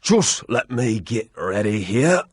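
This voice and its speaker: A man's voice: lowish voice, very animated, slow, husky, dry recording, exaggerated voice. A man voicing a theatrical, cowardly comic sidekick adventurer in a video game, hammy and dramatic. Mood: jaunty. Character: jolly, theatrical, cowardly male adventurer playing ship's captain.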